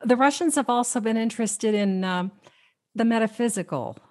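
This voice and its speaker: An actress playing a serious, composed American intelligence agent in a Cold War radio play. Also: female voice, fairly high pitch, moderate pace, a little mellow, no room sound, studio quality, lightly acted.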